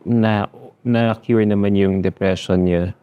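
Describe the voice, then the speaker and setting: A man's voice: on the low side, steady tone, a little slow, clean tone, dull audio. A man hosting an interview, asking probing follow-up questions. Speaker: interview host.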